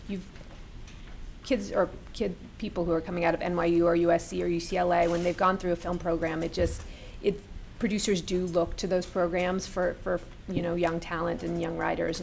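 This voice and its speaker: A woman on an industry panel speaking haltingly and repeating words as she starts over. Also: lowish voice, flat delivery, creaky, a little hiss or hum.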